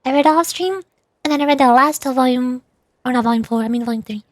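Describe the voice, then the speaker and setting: A woman's voice: high-pitched, fast talker, no room sound, clean studio sound. A woman livestreamer chatting casually to viewers, rambling and thinking aloud as she goes.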